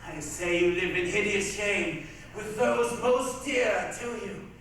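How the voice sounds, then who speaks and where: A man's voice: high-pitched, slow pace, fairly bright tone, full character voice. A man acting a defiant, bitter old blind prophet in a declamatory, weighty readers' theatre tragedy style. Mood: accusing, ominous. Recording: echoey hall from far off, faint noise.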